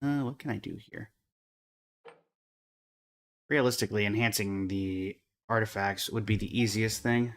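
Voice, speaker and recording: A man's voice: lowish voice, steady tone, measured pace, long pauses, fairly bright tone. A man livestreaming a game, chatting casually and in a friendly way with viewers while commenting on his play.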